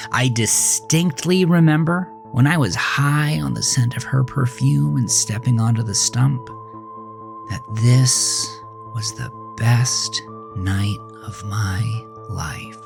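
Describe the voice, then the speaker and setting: A man narrating a scripted first-person horror story in a dramatic, deliberate way with drawn-out pauses. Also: mid-range voice, gravelly. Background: background music.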